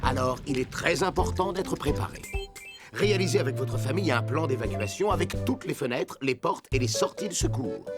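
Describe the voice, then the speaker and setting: A man's voice: medium pitch, lively intonation, brisk, natural voice. A man voicing an earnest rescue fire truck who teaches safety emphatically in a children's cartoon. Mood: earnest, instructive. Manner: instructive, emphatic. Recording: loud music behind the voice.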